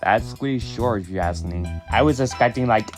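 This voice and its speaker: A man's voice: lowish voice, moderate pace, soft background music. A man acting out an amateur audio drama in an everyday, conversational, improvised-sounding voice.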